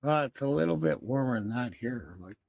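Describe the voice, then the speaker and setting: Brief: laid-back guy, gravelly fry in his voice, dry close sound. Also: medium pitch, lively intonation, a little fast, a little mellow, dry recording. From Alberta, Canada. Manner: laid-back, slightly gravelly, hesitant fillers. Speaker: guest. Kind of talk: casual livestream banter. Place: outdoors.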